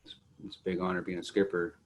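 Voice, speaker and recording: A man's voice: deep voice, completely flat delivery, fast, a little hiss or hum. A middle-aged man talking earnestly and steadily on a video call with earbuds. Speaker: guest artist. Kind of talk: casual conversational talk.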